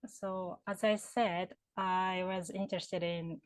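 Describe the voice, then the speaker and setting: Japanese woman, lowish voice, thinks out loud with lots of ums, dry close sound. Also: fairly even intonation, slow pace, no echo. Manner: thoughtful, with many fillers and restarts. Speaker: HR consultant and career counselor. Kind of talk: interview answers.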